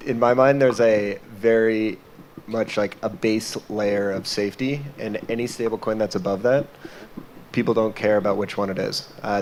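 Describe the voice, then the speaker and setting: A man speaking frankly on a conference panel, measured and slow in places. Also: on the low side, a little mellow, a little hiss or hum.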